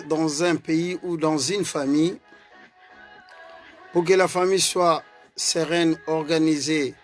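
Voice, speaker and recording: A man with a Congolese French accent gives an earnest political commentary as a party vice-president, hesitating and repeating words as he goes. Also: a little high. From Congo (DRC).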